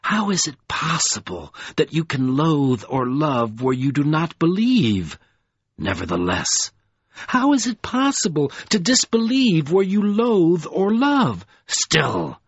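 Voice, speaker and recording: Brief: contemptuous ancient villain lord, husky and unhurried, low dry read, voiced by a male narrator. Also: very animated, dry recording, lo-fi sound, exaggerated voice. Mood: mocking. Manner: slow, deep, sneering delivery. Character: scornful ancient male dark lord, mocking. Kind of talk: audiobook character voice.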